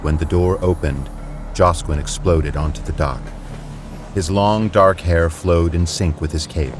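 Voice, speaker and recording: Very deep male storytelling narrator. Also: fairly even intonation, a little slow, noisy background. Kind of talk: scripted narration for audio fiction.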